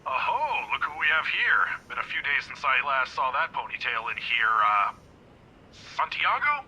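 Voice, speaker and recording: A jovial old security guard. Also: male voice, mid-range voice, very animated, normal speed, sharp tone, husky, full character voice, rough recording. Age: older. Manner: chatty, jovial, fumbles names. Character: friendly forgetful older male security guard. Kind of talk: voiced visual novel acting.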